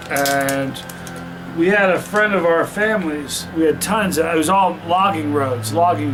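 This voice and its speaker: A man's voice: a little high, background noise. A man from Vermont reviewing a hot sauce in a casual vlog, chatty and rambling, with many restarts and fillers. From Vermont, USA.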